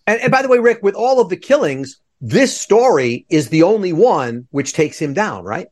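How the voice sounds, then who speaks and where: A man's voice: high voice, big pitch swings, normal speed, a little mellow. A man hosting a podcast interview with enthusiasm and joking asides. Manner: jokey asides. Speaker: podcast host.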